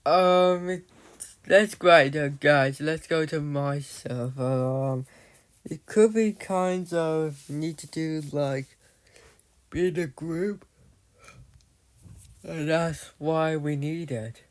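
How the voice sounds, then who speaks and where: Slow rambling man narrating a game. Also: fairly high pitch, smooth. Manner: rambling, loses his thread, restarts often; often addresses viewers as "guys". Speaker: gamer narrating his play. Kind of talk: casual gameplay commentary.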